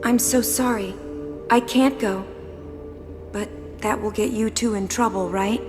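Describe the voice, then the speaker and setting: An actress playing a gentle, apologetic, dutiful young woman summoner in a video game. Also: mid-range voice, fairly even intonation, unhurried, close to an everyday voice. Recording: echoey hall reverb with music.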